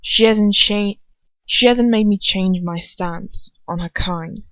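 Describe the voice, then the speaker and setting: A woman puts on a stern, aristocratic wizard father from a fantasy story. He speaks coldly and calmly in a measured, controlled murmur. The recording is dry and a little muffled. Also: mid-range voice, no echo. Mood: quiet, controlled.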